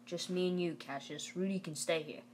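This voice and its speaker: A stern working father in a storybook, read by a woman, talks firmly and plainly, with a folksy lecturing manner. The voice sits fairly low, over a noisy recording. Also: fairly even intonation, fairly quick, natural voice. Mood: gruff, curt. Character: stern, plain-spoken Southern father, a working man urging schooling.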